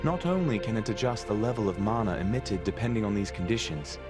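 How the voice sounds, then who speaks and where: A man's voice: on the low side, animated, brisk, close to an everyday voice. A man acting as a solemn, formal narrator, reading scripted fantasy lore for a video game. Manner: formal, expository. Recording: clear music behind the voice.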